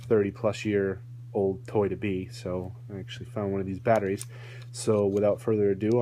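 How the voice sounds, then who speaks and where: A man giving a casual, rambling hands-on toy review, often correcting himself as he goes. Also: fairly low pitch. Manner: rambling, self-correcting asides. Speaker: toy collector reviewing a vintage toy. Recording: noisy home recording with electrical hum.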